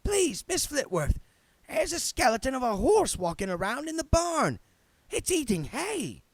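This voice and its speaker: Storybook farm lad, voiced by a man: very high pitch, timid, earnest, politely anxious. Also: huge pitch swings, a little slow, gravelly, no room sound, full character voice. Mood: nervous, concerned. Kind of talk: audiobook character voice.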